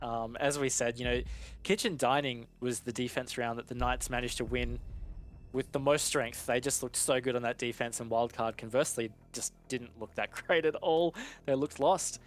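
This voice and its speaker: A man giving conversational live esports analysis in a measured, explanatory and informal way. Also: medium pitch, fairly even intonation.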